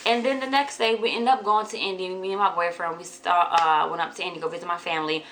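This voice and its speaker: A woman with a hoarse voice from a cold, chatting casually to viewers in a haul vlog. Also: fairly even intonation, quick pace, a bit bright, a little hiss or hum. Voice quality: deeper than usual. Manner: chatty, addresses viewers as y'all. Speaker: vlogger.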